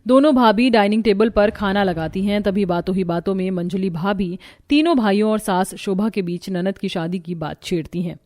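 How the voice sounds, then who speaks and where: A woman narrating a cartoon family story in a lively, expressive way. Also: medium pitch, normal speed, few pauses.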